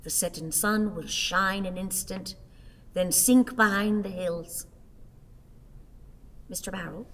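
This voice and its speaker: An actress playing a self-pitying, sorrowful old woman in a radio play, with halting, declamatory, melodramatic delivery. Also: medium pitch, fairly bright tone, long reverb tail. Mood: brooding, wistful. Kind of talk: theatrical radio play acting.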